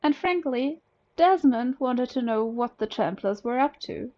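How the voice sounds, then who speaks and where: A woman's voice: high voice, lively intonation, a little slow, a little mellow, highs cut off. A woman from Germany, a native German speaker, narrating a scripted story as an amateur audiobook reader.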